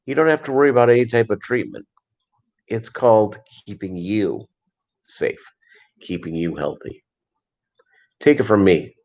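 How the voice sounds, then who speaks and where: An American man improvising a mock commercial as an earnest, sincere-sounding health pitchman. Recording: dull lo-fi livestream audio.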